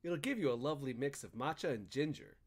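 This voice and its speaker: A man's voice: medium pitch, expressive, quick pace. A man hosting a podcast, talking in casual banter and chatty ad reads with an emphatic, swinging delivery. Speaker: podcast and stream host.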